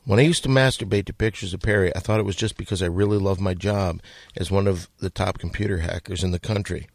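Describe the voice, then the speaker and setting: A low-pitched man with a gravelly edge reads listener letters aloud on a podcast. His delivery is deadpan and mock-earnest, and the studio sound is dry. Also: fast, no room sound. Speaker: podcast host.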